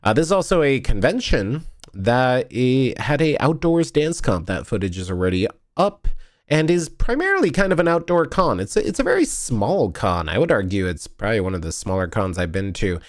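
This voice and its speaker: A man's voice: medium pitch, big pitch swings, normal speed, dry recording, studio quality. A tired, sniffly man chatting casually on a stream, rambling and correcting himself as he goes. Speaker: vlogger streaming a convention video review. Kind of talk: casual live-stream chat while editing.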